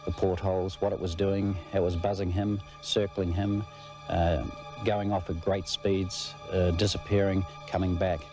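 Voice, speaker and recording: A man's voice: low voice, flat delivery, normal speed, a little mellow, faint music behind. A man recounting events in a documentary interview, hesitant and listing details phrase by phrase.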